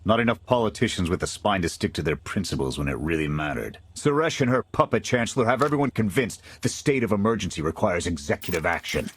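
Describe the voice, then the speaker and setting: A man's voice: deep voice, lively intonation, quick pace, hardly any pauses, a bit bright, breathy edge, heavily acted. An actor playing a jaded male military commander in a video game, talking in terse, clipped soldier phrases. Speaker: squad commander. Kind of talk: video game cutscene acting.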